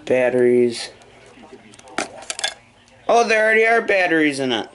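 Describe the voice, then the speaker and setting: A man casually narrating an unboxing and setup in halting, short muttered phrases. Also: a little high, very animated, fairly bright tone. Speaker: hobbyist video maker.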